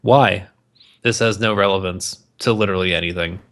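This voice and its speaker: A man's voice: low voice, normal speed, a bit bright. A man co-hosting a podcast in casual, opinionated banter, swearing freely and talking in sweeping exaggerations. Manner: profane, hyperbolic. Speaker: podcast co-host.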